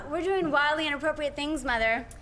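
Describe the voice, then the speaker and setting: A woman playing a bright, high-voiced, pert young sweetheart. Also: moderate pace. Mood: teasing. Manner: pert, direct questioning. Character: spirited, sweet but pointed young sweetheart. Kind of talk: 1940s radio play acting.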